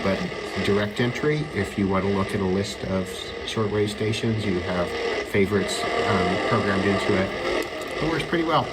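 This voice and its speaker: A man giving an unscripted hands-on gadget demonstration, thinking aloud in halting short phrases. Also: sits low. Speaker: radio hobbyist demonstrating receivers. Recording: loud radio static and hiss. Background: shortwave radio noise and tuning static.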